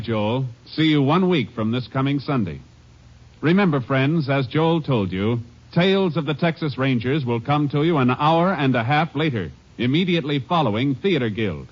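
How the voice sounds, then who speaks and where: A man's voice: medium pitch, lively intonation, normal speed, highs cut off. A man announcing and reading credits on a 1950 radio broadcast in a formal, declamatory style.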